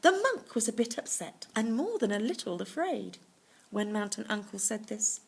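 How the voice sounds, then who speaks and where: A woman reading a bedtime story to children, pausing to explain things simply in little asides. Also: medium pitch, big pitch swings, gravelly, breathy edge. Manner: explains words simply to young listeners.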